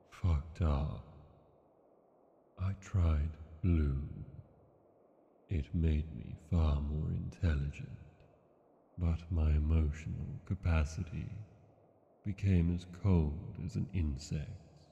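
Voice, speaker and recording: A man playing an arrogant, brooding dragon king in a fantasy ASMR audio roleplay, speaking deliberately with long pauses and a haughty manner. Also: very deep voice, fairly even intonation, gravelly, warm tone, a little hiss or hum, full character voice. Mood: troubled, subdued. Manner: slow, deliberate, pausing, haughty. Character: arrogant, brooding male dragon king reading his journal. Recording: muffled, long cavernous reverb.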